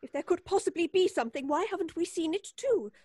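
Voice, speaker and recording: A woman's voice: extremely high pitch, expressive, quick pace, mellow, no echo, clean studio sound. A woman playing a timid, uneasy, polite lady in an old-time radio suspense play, hesitant, faltering and apologetic. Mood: frightened, reluctant.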